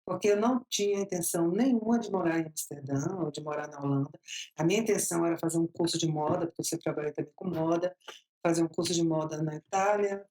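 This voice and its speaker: A woman's voice: on the low side, dark tone, rough recording. A woman in her fifties from Bahia, Brazil, with a Bahian accent, telling her story as an interview guest, chatty and laughing often. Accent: Bahian Brazilian Portuguese. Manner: chatty, laughs often, drawn-out slow phrases.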